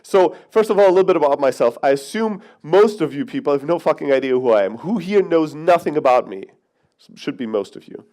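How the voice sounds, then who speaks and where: A man's voice: a little high, animated, brisk, darkish tone. A man in his thirties from Greece, raised in Germany, giving a brash, joking conference keynote with the odd swear. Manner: brash, profane, joking with the audience. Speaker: conference speaker. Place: conference hall.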